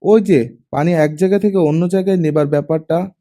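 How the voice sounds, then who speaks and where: Audiobook role: proud older ex-police boss and father, performed by a man, gruff, mellow-toned, used to command. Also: fairly high pitch, brisk, pitched above the performer's own voice, lightly acted. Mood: softer, fatherly.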